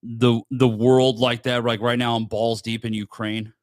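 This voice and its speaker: A man's voice: mid-range voice, flat delivery, unhurried, no room sound, clean studio sound. A man chatting casually as a podcast guest, informal and curious, swearing freely.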